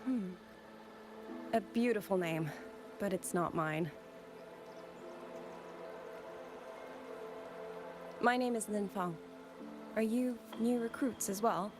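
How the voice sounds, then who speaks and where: An actress playing a gentle, wistful widowed musician turned soldier in a game, speaking in a soft, measured, reflective way. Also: female voice, medium pitch, music in the background, close to an everyday voice. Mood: calm, wry. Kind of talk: scripted game dialogue.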